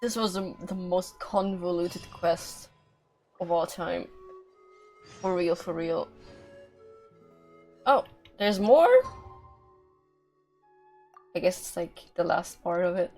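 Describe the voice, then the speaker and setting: A woman giving casual live-stream game commentary in a hesitant, rambling, deadpan way. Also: fairly low pitch, slow, long pauses, soft background music.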